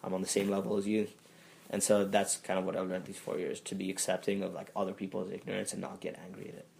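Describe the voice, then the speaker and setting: A man's voice: low voice, monotone, fast, creaky, a little mellow, poor audio. A young man from Tanzania, a student being interviewed, who talks thoughtfully with many fillers and restarts. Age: young adult. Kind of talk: casual interview.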